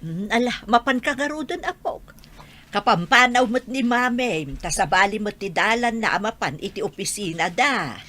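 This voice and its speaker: A woman playing a caring elderly grandmother in a radio drama, gently coaxing. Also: mid-range voice, lively intonation, moderate pace. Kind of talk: Ilocano radio drama acting.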